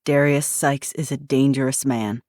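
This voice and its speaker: A woman reading a tense, protective man's dialogue with grave, measured urgency. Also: very deep voice, steady tone, a little mellow, no room sound, clean studio sound. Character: tense protective man, grim and guarded.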